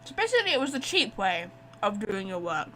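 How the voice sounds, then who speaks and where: Bright-voiced woman doing chatty game commentary. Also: mid-range voice, brisk, slight background noise. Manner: chatty, expressive, swears casually. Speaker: game streamer. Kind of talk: casual let's play commentary.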